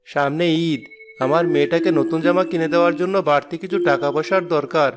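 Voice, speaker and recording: A man's voice: on the high side, steady tone, a little fast, natural voice. A man playing a humble, poor village father. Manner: humble, polite, gentle. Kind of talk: cartoon voice acting. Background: background music.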